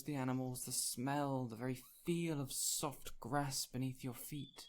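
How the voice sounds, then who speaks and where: A man playing an ancient, whimsical fey spirit in an audio roleplay, speaking in a gentle, courtly, theatrical way with lingering pauses. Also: mid-range voice. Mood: gentle, coaxing. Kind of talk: audio roleplay addressed to the listener.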